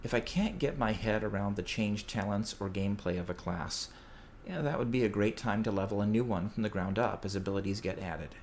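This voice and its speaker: A man giving a prepared, measured report as a contributor to a podcast. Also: lowish voice, darkish tone. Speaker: listener sending in a recorded report. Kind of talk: prepared, scripted-sounding report. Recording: clear background noise.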